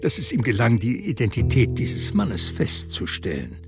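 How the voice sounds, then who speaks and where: Male narrator, mid-pitched with fry, measured literary reading, varied melody over clear music. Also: huge pitch swings. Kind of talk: literary scripted narration in a radio drama.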